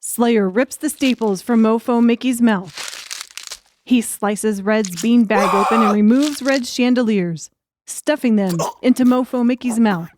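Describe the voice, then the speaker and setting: A woman's voice: fairly high pitch, a little slow, a little mellow. Woman narrating a thriller, noisy recording. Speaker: audiobook narrator. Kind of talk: dramatic scripted narration in present tense.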